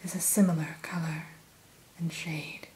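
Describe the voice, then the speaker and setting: A woman whispering softly as a dragon hatchery keeper in an ASMR roleplay, gentle and a little formal, close to the mic. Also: low-pitched, level tone, low-quality recording. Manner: soft whisper throughout; gentle, unhurried, mildly formal.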